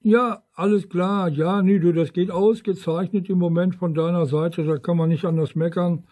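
A man's voice: sits high, fairly even intonation, moderate pace, warm tone. A man chatting casually as an amateur radio operator, rambling along and often tacking questions onto his sentences. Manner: rambling, frequent tag questions. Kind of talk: casual ham radio chat.

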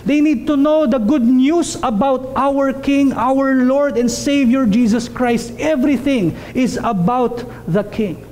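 High-voiced, mellow man preaching. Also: a little slow, hardly any pauses, slight background noise. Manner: earnest, emphatic, repeats phrases for stress. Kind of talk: sermon. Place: small church hall, indoors.

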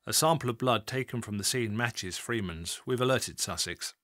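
A man voicing a matter-of-fact male police officer who speaks briskly and factually. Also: mid-range voice, fairly bright tone, no room sound, studio quality, natural voice. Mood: businesslike.

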